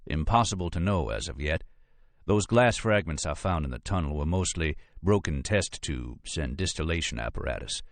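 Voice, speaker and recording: An audiobook character performed by a male narrator: a courteous male federal agent with a deep, creamy drawl. He is formal, unhurried and drily witty, sure of himself in every phrase. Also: expressive, no room sound. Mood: calm, courteous.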